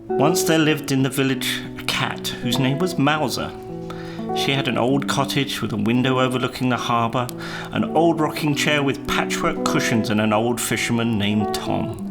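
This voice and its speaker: Man narrating a storybook over guitar music. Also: mid-range voice. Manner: measured, expressive storybook delivery. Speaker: storyteller reading aloud. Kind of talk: scripted children's story narration.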